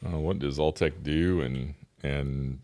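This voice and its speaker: Deep-voiced male podcast host. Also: fairly even intonation, takes it slowly, mellow, dry recording. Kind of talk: podcast hosting and interview.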